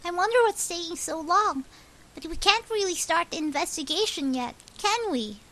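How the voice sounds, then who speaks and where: A woman's voice: extremely high pitch, animated, normal speed, a bit bright. A woman playing a sweet, eager young girl assistant in a fan dub of a courtroom mystery game, polite and girlish. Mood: polite, hesitant.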